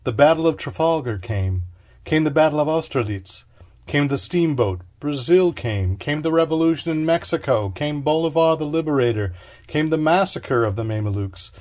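A man's voice: on the high side, expressive, moderate pace, a little mellow. A man reading a literary short story aloud in a declamatory, incantatory way, phrase by short phrase. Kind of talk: dramatic literary reading, scripted narration.

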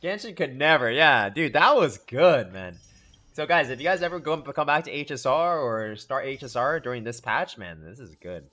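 A young man giving casual live reactions as a game streamer, excitable and slangy. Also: medium pitch, moderate pace. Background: game music.

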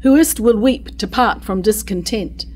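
A woman's voice: medium pitch, a little mellow, close to an everyday voice. Woman acting a formal, loyal noblewoman mother. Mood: firm, defensive. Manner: measured, formal verse delivery. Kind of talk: staged reading of Jacobean verse tragedy. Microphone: stand microphone at lectern.

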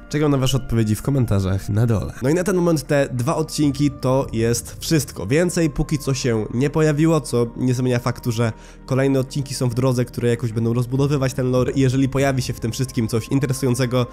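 A young adult man narrating a video essay in a measured, serious, explanatory way, speaking close into a studio microphone. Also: medium pitch, dark tone. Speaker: YouTube host reviewing horror series. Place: small home studio room, indoors. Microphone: studio microphone on boom arm, close. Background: background music.

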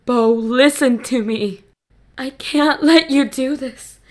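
A woman's voice: high-pitched, slow pace. A woman playing a frail, ailing old mother, desperate and loving, pleading urgently in an audio drama. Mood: pleading, distressed.

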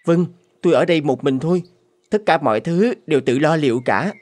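A man's voice: on the high side, fairly quick, natural voice. Man acting an earnest, courteous young scholar. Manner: courteous, gentle. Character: polite, earnest, devoted young male scholar. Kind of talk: animated fairy tale voice acting. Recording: faint music under the voice.

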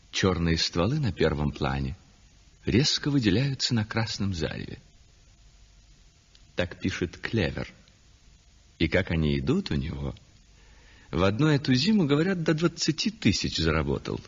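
A worldly, pleased-with-himself painter holds forth in a 1960s radio drama. His pitch swings dramatically and his tone is husky, chatty and smug. The old recording sounds dull, with a long reverb. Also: full character voice. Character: self-satisfied, worldly male painter, chatty and mercenary. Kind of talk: 1960s radio play acting, confiding monologue.

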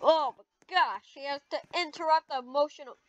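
An excitable gamer improvising a casual, dramatic video game roleplay. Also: sits high, a little fast. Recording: dull lo-fi mic with clear background noise.

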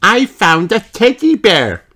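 An adult narrator voicing an excitable, playful and bossy little girl pig from a children's storybook. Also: medium pitch, slow, pitched above the performer's own voice, full character voice. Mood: excited.

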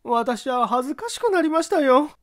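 A man's voice: extremely high pitch, animated, moderate pace, a bit bright, pitched above the performer's own voice. A man voicing a meek, gullible, good-natured woman in a story reading, with a timid, bashful manner.

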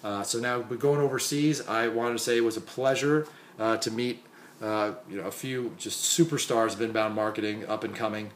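Young man, hoarse, worn out, low voice, faint hiss, webcam in a small dim room. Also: moderate pace. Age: young adult. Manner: chatty, filler-heavy shout-outs. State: hoarse and exhausted. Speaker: marketing consultant. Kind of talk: casual conference recap vlog.

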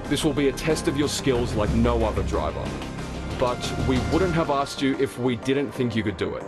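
A man's voice: medium pitch, lively intonation, normal speed, over loud music, natural voice. A man playing a formal, resolute military commander giving a scripted mission briefing in a game. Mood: firm.

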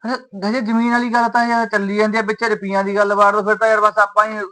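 A harried young son and husband, played by a man, pleads and reasons in quick bursts. His voice is high for a man. It sounds bright and pressed. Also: level tone, low-quality recording. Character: hard-pressed son caught between wife and mother. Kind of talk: Punjabi family drama acting.

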